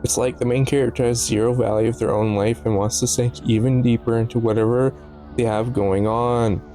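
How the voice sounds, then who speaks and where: A man's voice: mid-range voice, flat delivery, a little fast, dark tone. A man giving casual, analytical video commentary in a musing, slightly stumbling way, with offhand asides. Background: background music.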